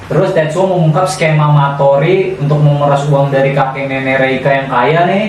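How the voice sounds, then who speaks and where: A man's voice: fairly high pitch, steady tone, moderate pace, soft background music. A man with a Jakarta Indonesian accent chatting casually as a podcast co-host, in slangy, chummy style. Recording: very echoey room, distant mic, faint noise.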